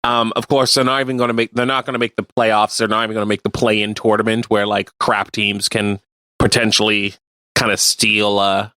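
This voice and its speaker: A man co-hosting a radio show, chatting casually about sports and explaining things in a halting way. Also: on the low side, flat delivery, pushed and bright, no room sound. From Canada. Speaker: radio co-host.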